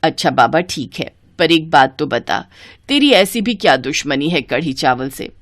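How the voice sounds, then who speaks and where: Woman playing a low-voiced teasing Indian mother. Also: big pitch swings, moderate pace, no room sound, clean studio sound. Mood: gently chiding. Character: middle-aged mother and mother-in-law, teasing, fond, gently scolding.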